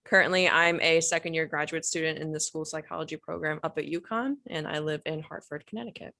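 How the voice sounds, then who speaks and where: A woman in her twenties, a graduate student, introducing herself briskly and friendly on a video call. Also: sits low, gravelly, bright, forceful tone, no room sound. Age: 20s.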